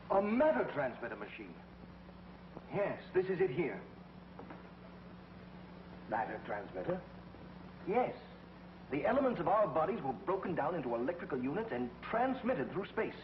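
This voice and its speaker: An actor playing an earnest, indignant space commander in a 1950s TV drama, asking urgent questions with emphasis. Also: male voice, fairly high pitch, a little hiss or hum. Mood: baffled. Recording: dull 1950s TV soundtrack with music.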